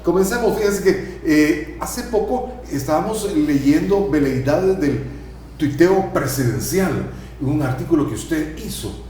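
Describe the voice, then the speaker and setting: A man's voice: on the high side, expressive, unhurried, darkish tone, heavy reverb, slight background noise. A man hosting a TV interview show, chatty and asking frequent tag questions. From El Salvador. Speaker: TV talk show host. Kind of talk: conversational TV interview. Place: TV studio.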